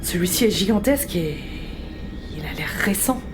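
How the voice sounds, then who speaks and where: A woman's voice: on the low side, lively intonation, moderate pace, close to an everyday voice. An actress voicing a thoughtful young woman explorer who reasons aloud with some hesitation, in an audio drama over music. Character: Karen, a sharp, brooding young woman explorer.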